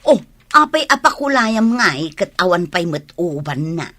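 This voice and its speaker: A woman acting a nosy Filipino-accented village neighbour in a radio comedy. She is teasing and gossipy, slow and very expressive, in a bright, dry close sound. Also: lowish voice, no echo, exaggerated voice. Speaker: radio drama actor.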